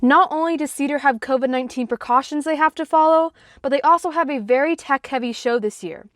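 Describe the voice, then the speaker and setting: A young woman with a notably high voice narrates a scripted news report. She speaks as a student reporter introducing and linking a story. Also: brisk.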